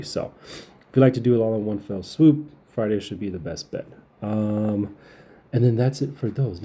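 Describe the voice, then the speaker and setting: A man with a low, soft-edged and husky voice hosts a casual gaming update. He sounds like he is getting over a cold. His delivery is relaxed and informal, explaining things in a loose, rambling way. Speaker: gaming channel host. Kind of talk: casual game update commentary.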